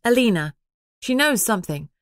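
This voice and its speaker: A woman's voice: a little high, big pitch swings, moderate pace, no room sound, studio quality, pitched above the performer's own voice. A woman voicing a frank young heroine in an audiobook, earnest and wry. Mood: thinking aloud.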